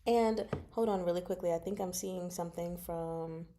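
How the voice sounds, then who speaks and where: A woman hosting a casual book discussion livestream, chatting to viewers in an expressive, exclaiming manner. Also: lowish voice, normal speed, a little hiss or hum. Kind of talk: casual chat, discussing a novel with live viewers.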